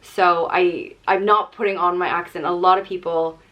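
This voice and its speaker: A young Irish woman whose accent carries a Canadian tinge talks in a slightly low, easy voice. She reads each question aloud, then answers in a relaxed, chatty way, with small laughs between her thoughts. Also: normal speed, lo-fi sound. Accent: Irish with a Canadian-tinged lilt. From Ireland. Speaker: vlogger. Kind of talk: casual question-and-answer chat.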